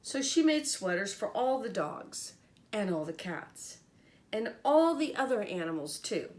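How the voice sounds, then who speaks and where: A woman's voice: medium pitch, sing-song, a little slow. A woman reading a picture book aloud to children as a teacher, expressively and with little comments to her listeners. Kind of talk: animated picture-book storytelling.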